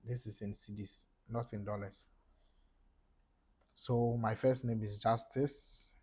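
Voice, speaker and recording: A young man with a Ghanaian English accent narrates a screen tutorial in a casual way, stopping now and then to find his words. His voice sits low and sounds a little husky. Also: steady tone, darkish tone. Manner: casual explaining, talks through each step, halting with fillers. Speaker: tech YouTuber. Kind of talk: screen-recorded tutorial walkthrough. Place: small room indoors.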